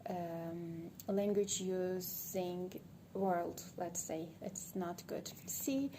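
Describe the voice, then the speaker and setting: A woman from Turkey with a Turkish accent, a native Turkish speaker, giving an unscripted monologue for social media and thinking aloud, hesitant, with many pauses. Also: on the low side. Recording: background ambient noise.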